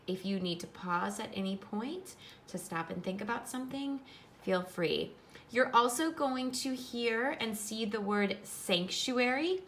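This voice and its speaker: A woman with a bright, forward voice reads aloud as a teacher would to young pupils. Her delivery is instructive, with simple wording meant for children. Her pitch sits in an ordinary middle range for a woman. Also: a little hiss or hum. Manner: instructive, explains words in simple terms. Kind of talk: children's nonfiction read-aloud with explanatory asides.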